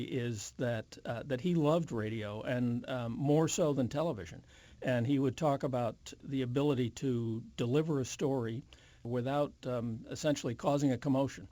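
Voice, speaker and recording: Mellow older American man, measured and careful. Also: medium pitch, no echo. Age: 70s. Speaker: interview guest. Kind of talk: reflective interview conversation.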